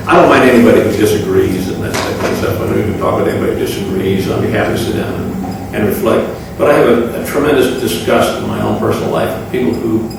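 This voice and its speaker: A man speaking off the cuff as an official at a public meeting, halting, solemn and aggrieved. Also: on the low side. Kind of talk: extemporaneous public remarks. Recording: very echoey room, distant mic, clear noise.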